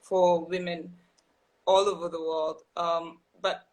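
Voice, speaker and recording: A young woman from Burkina Faso speaks on a video call, earnest and thoughtful. Her voice sits a little low for a woman. She pauses often with ums and restarts her sentences. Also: little pitch movement, low-quality recording. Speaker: guest writer. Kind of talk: casual live-stream conversation.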